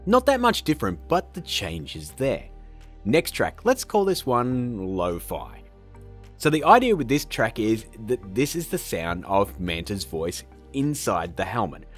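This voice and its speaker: A man hosting a casual software tutorial, upbeat and playful with joking asides. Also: fairly low pitch, sing-song, measured pace, breathy edge, faint music behind. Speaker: tutorial host.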